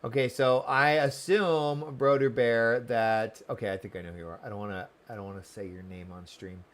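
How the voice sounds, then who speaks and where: A man's voice: medium pitch, fairly even intonation, normal speed, fairly bright tone. A man live-streaming a game, chatting casually and welcomingly with viewers while commenting on the story, often repeating words.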